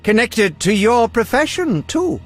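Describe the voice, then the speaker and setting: A man's voice: very high-pitched, very animated, unhurried, full character voice. A man playing an eccentric, pompous, absent-minded alchemist in a video game, with theatrical delivery. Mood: self-important. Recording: music behind.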